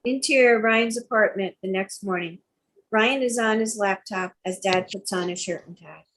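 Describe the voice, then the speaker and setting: Lady reading script directions, mid pitch, faint background noise. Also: moderate pace, a bit bright, rough recording. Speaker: stage directions reader. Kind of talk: scripted narration.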